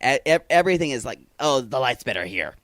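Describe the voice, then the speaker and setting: Lively, animated male podcast host. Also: mid-range voice, fast, no room sound, clean studio sound. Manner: animated, laughs often, restarts mid-sentence. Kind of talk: casual recap chat.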